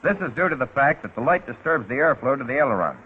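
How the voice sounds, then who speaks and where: A male American narrator delivers scripted 1940s military-style instruction through a thin, phone-like old film soundtrack. His voice is medium in pitch and rough around the edges. He explains step by step with a folksy, wry streak. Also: very animated, fast.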